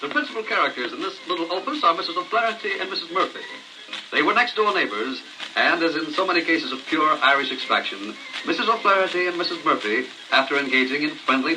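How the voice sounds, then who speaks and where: Animated, theatrical male storyteller on crackly record. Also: fairly high pitch. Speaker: narrator. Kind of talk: scripted comic storytelling on a novelty record. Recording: noisy crackling 78 rpm record.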